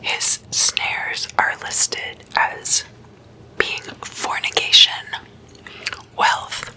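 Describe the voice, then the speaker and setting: A woman hosting ASMR, whispering a scripted explainer in a breathy, deliberate way with measured pauses between phrases. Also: bright, forceful tone, rough recording. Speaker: ASMR host.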